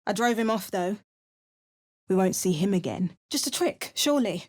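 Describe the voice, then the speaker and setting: A woman's voice: medium pitch, moderate pace, close to an everyday voice. A woman with an English accent voicing a hardened, wry female adventurer in a video game, making dry remarks and chanting spells. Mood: grim. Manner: chants spell words in a lively, sing-song way. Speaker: player character.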